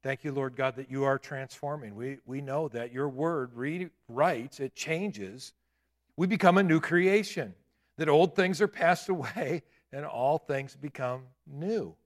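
A man in his late fifties preaching a sermon in a church, with emphatic declarations and quieter asides. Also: on the high side, measured pace. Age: 50s. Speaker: pastor. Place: church sanctuary.

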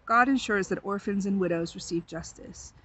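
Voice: medium pitch, moderate pace, pushed and bright, slight background noise. A speaker explains the background of a scripture passage in an earnest way, then reads it aloud with emphasis. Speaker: scripture reader at a service. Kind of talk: introducing and reading scripture.

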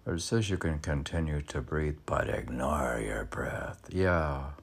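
A man reads poetry aloud casually, rambling into his own commentary and musing haltingly, as if arguing with himself. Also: extremely low pitch, creaky, slightly rough. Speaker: poet reading own poems aloud.

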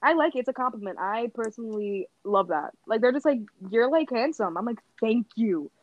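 A young woman co-hosting a podcast, telling stories in an excitable, slangy way. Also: fairly high pitch, fairly quick, dry recording, highs cut off. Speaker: podcast co-host. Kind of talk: casual chat.

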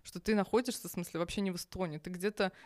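A woman guest chatting casually, telling a travel story quickly with many restarts. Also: on the low side, dry recording. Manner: fast, lively storytelling with many restarts and laughs. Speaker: podcast guest. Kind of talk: casual conversation.

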